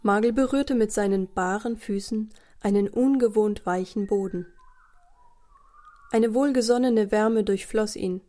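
A woman's voice: medium pitch, unhurried, warm tone. A woman narrating a children's radio play as a scripted story.

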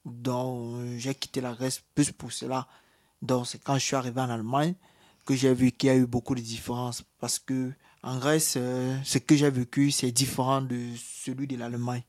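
A man's voice: medium pitch, level tone, moderate pace, a little mellow. Hesitant man with a Cameroonian accent. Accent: Cameroonian French. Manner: hesitant, many fillers and restarts. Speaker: interviewee, asylum seeker. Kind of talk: personal testimony in an interview.

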